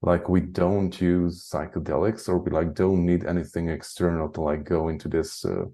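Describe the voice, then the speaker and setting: A man with a deep voice, chatting casually as an interview guest, rambling with lots of "like" and frequent chuckles. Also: flat delivery, normal speed, mellow. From Hungary. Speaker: guest, spiritual channeler.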